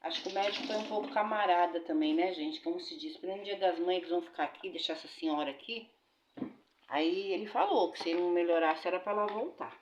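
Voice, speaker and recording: A woman's voice: lowish voice, fast. Chatty woman vlogging while washing dishes. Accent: Brazilian Portuguese. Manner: chatty, addresses viewers as "gente", religious blessings. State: recovering from a bad flu. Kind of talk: casual chat to viewers. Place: home kitchen, washing dishes. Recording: roomy, dull, noisy kitchen recording.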